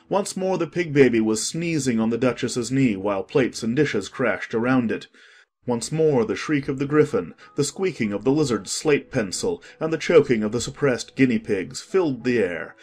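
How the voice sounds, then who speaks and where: A male narrator reads a storybook in a calm, measured voice. His tone is slightly husky and breathy. Soft music plays underneath. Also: medium pitch. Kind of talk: audiobook narration.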